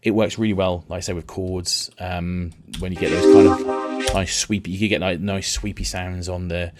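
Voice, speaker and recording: A man explaining music software as a tutor, in an informal, rambling, conversational way. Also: sits low, level tone, normal speed. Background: synth and vocoder music.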